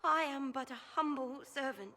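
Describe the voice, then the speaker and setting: A nervous humble young servant, high bright voice, fantasy game, bit of noise behind. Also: female voice, moderate pace. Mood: flustered, humble. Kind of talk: dramatic fantasy game acting. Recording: faint background noise.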